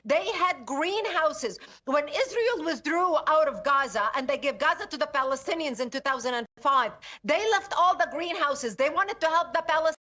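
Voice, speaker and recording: A woman from Lebanon, a guest analyst in a news interview, speaking with forceful indignation and emphatic repetition. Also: high voice, expressive, moderate pace, few pauses, dull audio.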